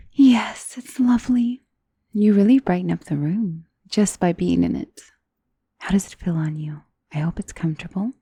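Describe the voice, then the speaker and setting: A woman voicing an elegant, doting, possessive vampire noble in an intimate ASMR roleplay, soft and breathy, often slipping into whispers. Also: mid-range voice, no echo. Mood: admiring, affectionate. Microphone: close studio mic.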